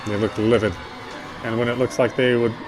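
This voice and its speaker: A man's voice: mid-range voice, level tone, fairly quick. A man streaming a visual novel, reading its story aloud and commenting casually. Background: faint game music.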